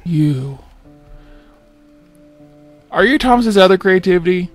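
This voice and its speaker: Man as curt male cartoon rival, slightly high pitch, direct delivery, comic dub, background music. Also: lively intonation, slow.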